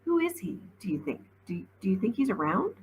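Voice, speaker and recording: A woman's voice: medium pitch, very animated, fairly quick, slight background noise. A woman voicing an earnest, anxious young girl in a storybook, with a questioning, pleading manner.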